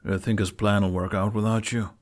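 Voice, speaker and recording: A man's voice: lowish voice, flat delivery, brisk, close to an everyday voice. A man voicing a caring, plain-spoken male bard in an audiobook. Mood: concerned.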